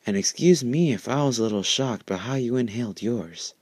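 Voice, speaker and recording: A man's voice: mid-range voice, fairly quick, a little mellow, husky, no room sound, close to an everyday voice. A man voicing a prim, fussy female pony with a reproachful manner in a story reading. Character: prim, exasperated female pony roommate, a candy maker.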